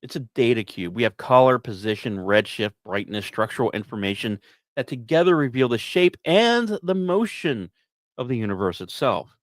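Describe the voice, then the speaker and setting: A man's voice: medium pitch, animated, moderate pace, no echo. A man hosting a science podcast, delivering semi-scripted commentary with wry asides and the odd stumbled, repeated word.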